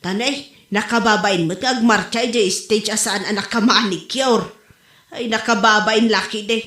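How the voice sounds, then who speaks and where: A woman's voice: mid-range voice, moderate pace, a bit bright, natural voice. A woman playing a chatty, vain wife and mother with an Ilocano accent, bantering expressively in a comedy drama. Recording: roomy, echoey home recording.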